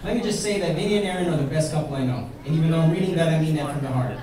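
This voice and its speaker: Young man, high voice, wedding toast, echoey banquet hall, heard from far off. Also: expressive, crowd noise. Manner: joking, self-mocking, pausing for laughs. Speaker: best man. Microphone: handheld mic, filmed by a far camera.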